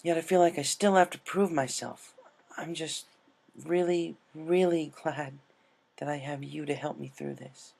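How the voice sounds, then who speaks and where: A man voicing an excitable, earnest female cartoon pegasus pony with an emotional delivery in a story reading. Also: a little high, unhurried, vocal fry, voice pushed up. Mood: tender, vulnerable.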